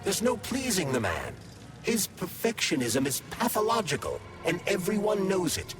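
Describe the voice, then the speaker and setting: An actor playing an erudite, logical artificial intelligence companion in a video game, explaining things formally and articulately. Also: male voice, sits high, wildly swooping pitch, normal speed, over music. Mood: wry, reasoning. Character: talking AI glove, erudite, logical male companion. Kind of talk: video game voice acting, expository.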